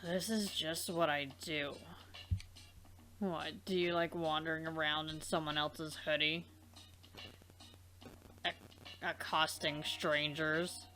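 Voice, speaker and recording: A woman's voice: sits low, steady tone, takes it slowly, pauses often, pushed and bright, soft background music, heavily acted. A woman voicing a blunt, defensive young female alien cleaner in an amateur visual novel dub, curt and foul-mouthed. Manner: irritable, curt, profane.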